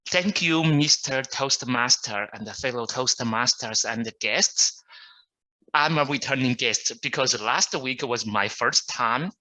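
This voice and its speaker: A man's voice: medium pitch, measured pace, bright, forceful tone, rough recording. A man from China with a Mandarin accent, his first language, introducing himself as a returning guest at an online club meeting in a hesitant way, with many fillers. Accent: Mandarin Chinese. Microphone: video call.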